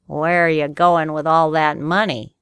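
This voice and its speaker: A woman's voice: low voice, little pitch movement, a little slow, clean tone, no room sound. A woman voicing a sly, mischievous truant boy in a children's storybook, needling and persuasive. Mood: slyly tempting.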